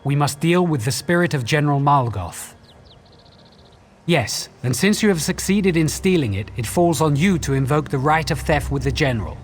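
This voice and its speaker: An actor playing an earnest, thoughtful companion on a fantasy quest in a video game, speaking in a measured way. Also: male voice, fairly high pitch, expressive, slight background noise, close to an everyday voice. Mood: earnest, counselling. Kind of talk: video game character dialogue. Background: music.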